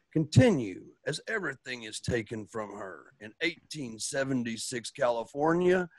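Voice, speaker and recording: A man playing the announcer of a Western radio serial, narrating theatrically and deliberately with swelling emphasis. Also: medium pitch, a little mellow, husky, no echo. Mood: grand, dramatic. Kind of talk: scripted radio drama narration.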